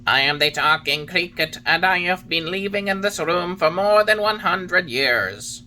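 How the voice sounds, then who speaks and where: A man voicing a wise old male talking cricket who lectures in solemn, drawn-out warnings. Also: high-pitched, animated, bright, forceful tone, slight background noise, voice pushed up, heavily acted. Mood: lecturing.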